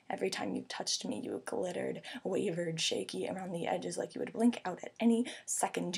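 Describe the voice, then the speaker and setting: A young woman delivers slam poetry in a lowish, slightly husky voice. She phrases each image deliberately, with an earnest and intimate delivery. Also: a little mellow. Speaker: slam poet.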